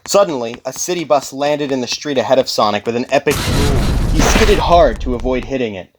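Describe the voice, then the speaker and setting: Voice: medium pitch, animated, fairly quick, heavy background noise. A narrator reading a scripted dramatic audiobook story.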